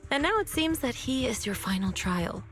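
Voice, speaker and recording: Nonchalant, higher-pitched sword mentor. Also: female voice, big pitch swings, moderate pace. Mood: breezy. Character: composed, nonchalant sword master mentor woman. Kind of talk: video game voice acting. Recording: game music behind the voice.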